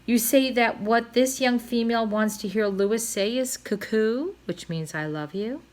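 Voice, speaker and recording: A woman's voice: on the high side, moderate pace, darkish tone, natural voice. A woman voicing a pompous, passionate father swan in a storybook read-aloud, declaiming in grand, florid style. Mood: determined, grand.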